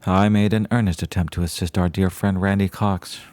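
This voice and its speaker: A man playing an excitable, earnest small-town radio reporter with a florid, theatrical way of narrating. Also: low voice, a little fast, lightly acted. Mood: earnest wonder. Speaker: host and narrator of an audio drama. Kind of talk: old-time radio style dramatic narration.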